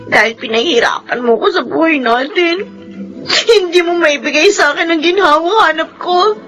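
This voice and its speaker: A woman's voice: normal speed, fairly bright tone, faint music behind, poor audio. An actress in a radio play voicing a materialistic young wife who scolds and mocks her husband. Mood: pleading angry. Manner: shrill, scolding. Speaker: voice actor. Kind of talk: radio drama acting.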